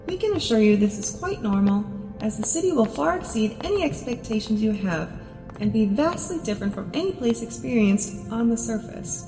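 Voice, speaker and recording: An actress playing a polished, reassuring public announcer in an audio drama, speaking formally and courteously. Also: female voice, a little high, warm tone. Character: polished, reassuring female welcome announcer of an undersea city. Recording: long-reverb announcement over light music.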